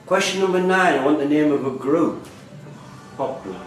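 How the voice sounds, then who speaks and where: A man's voice: a little high, lively intonation, moderate pace. A man reading out questions as the quiz master at a live quiz. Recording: echoey room, distant mic, loud background music.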